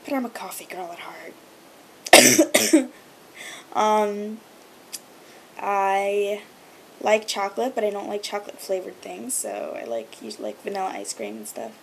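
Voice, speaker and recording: A young woman whose first language is Hebrew talks to her webcam in a gushing, rambling way, full of fillers. Her voice sits in the middle range. A faint low rumble sits under the recording. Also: animated, unhurried, vocal fry, pauses often, fairly bright tone. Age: young adult. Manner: chatty, gushing, lots of fillers. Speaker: vlogger. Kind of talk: casual chat to camera.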